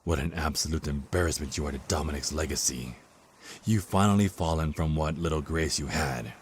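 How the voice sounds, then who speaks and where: A man's voice: very deep voice, brisk, roomy sound, slight background noise. A man playing a vengeful, scornful male angel of death in an audio drama, menacing and theatrical. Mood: bitter, contemptuous. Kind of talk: scripted audio drama acting.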